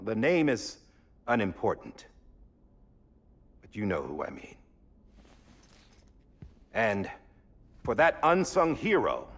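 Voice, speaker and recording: Husky, slow-spoken solemn statesman. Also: medium pitch, full character voice. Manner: measured, solemn, rhetorical. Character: solemn statesman delivering a stirring memorial address. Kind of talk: formal speech in a video game cutscene.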